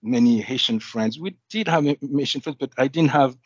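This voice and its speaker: A man from Belgium telling his life story in an interview. He pauses often and restarts his phrases as he thinks. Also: mid-range voice, dry recording. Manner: thoughtful, with frequent restarts and asides. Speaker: guest. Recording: dull, slightly muffled audio.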